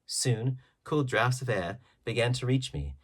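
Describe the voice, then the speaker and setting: A man reading a classic adventure novel aloud as expressive, measured audiobook narration with emphatic phrasing. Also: mid-range voice, breathy edge. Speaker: audiobook reader.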